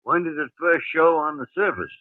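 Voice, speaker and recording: An actor playing a gruff, grumbling elderly male hospital chief in a 1950s radio drama. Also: on the high side, a little fast, no echo, lightly acted. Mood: probing. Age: older. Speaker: senior hospital doctor. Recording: tinny old 1950 radio broadcast.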